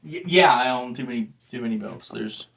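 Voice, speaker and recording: A man's voice: medium pitch, animated, a little fast, gravelly, a bit bright. A man talking casually to camera in a rambling review, with a blunt, self-deprecating manner. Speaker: vlogger and wrestling belt collector reviewing a belt.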